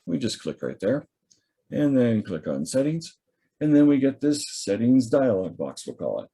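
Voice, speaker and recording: A man teaching staff a software tool over a video call, in a relaxed, chatty way with many fillers as he thinks aloud. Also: medium pitch, moderate pace, slightly rough. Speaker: instructor. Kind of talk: screen-share software training. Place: video call from a home or office. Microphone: computer or headset mic on a video call.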